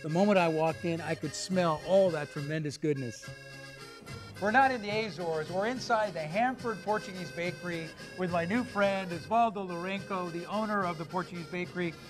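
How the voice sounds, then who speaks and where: A man hosts a food show with a slightly raised pitch. He gushes over every dish, full of effusive praise. Music plays clearly behind his voice. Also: normal speed, rough recording. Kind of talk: enthusiastic food show hosting.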